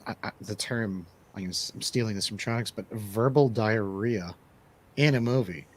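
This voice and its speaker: A man's voice: fairly low pitch, normal speed. A man chatting casually as a panelist on a movie review show, with a conversational, joking manner. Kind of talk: casual chat.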